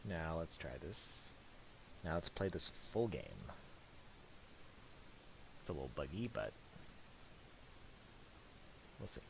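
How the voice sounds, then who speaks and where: A man narrating a casual software tutorial, thinking aloud in a halting way. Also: deep voice, expressive. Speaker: tutorial presenter. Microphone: voice-over for a screen recording. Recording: hissy amateur voice-over.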